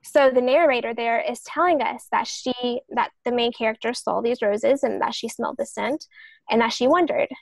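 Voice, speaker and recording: A woman's voice: fairly high pitch, moderate pace, vocal fry, no room sound, low-quality recording. A woman explaining the craft of writing as a podcast panelist, in an earnest, explanatory way.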